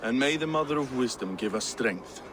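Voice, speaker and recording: Male actor as a guarded henchman, mid pitch, brusque, game dialogue, faint street noise. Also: animated, moderate pace, a bit bright, close to an everyday voice, low-quality recording. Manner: brusque, instructing. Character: curt, secretive male henchman. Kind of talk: video game cutscene acting.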